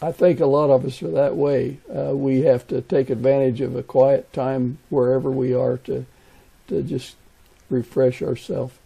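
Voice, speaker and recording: Male podcast interviewer, casual, very mellow dark tone with gravelly creak, echoey sound. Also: mid-range voice, little pitch movement, moderate pace.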